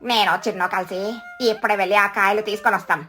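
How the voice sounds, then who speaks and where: A woman's voice: medium pitch, fairly even intonation, rapid-fire, bright, forceful tone, soft background music, lightly acted. A woman voicing an eager, confident, helpful female bird in a Telugu children's cartoon, speaking emphatically.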